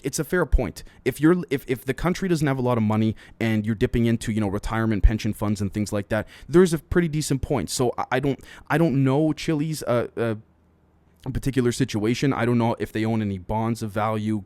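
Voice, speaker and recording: A man's voice: mid-range voice, darkish tone, dry recording. Man, podcast host, middle-range voice, opinionated news commentary, casual and chatty, speaks straight to listeners. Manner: opinionated, speculative, conversational; frequently addresses listeners directly.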